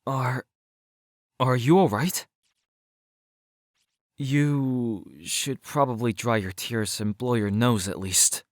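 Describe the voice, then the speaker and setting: A man's voice: mid-range voice, takes it slowly, pauses often, lightly acted. A man playing an exasperated but caring teenage schoolboy in a horror game dub, reacting expressively. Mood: reassuring. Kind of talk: video game dub acting.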